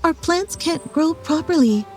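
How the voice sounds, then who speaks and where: A woman voicing a gentle, worried friendly monster in a children's storybook. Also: extremely high pitch, lively intonation, unhurried, soft background music, pitched above the performer's own voice. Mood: worried, sad.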